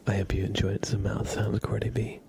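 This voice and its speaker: Male ASMR streamer: deep, fry-laced, soft whispery delivery, relaxed chat to viewers. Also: fairly quick, slight background noise. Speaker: ASMR livestreamer. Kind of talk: ASMR, chatting softly with live chat.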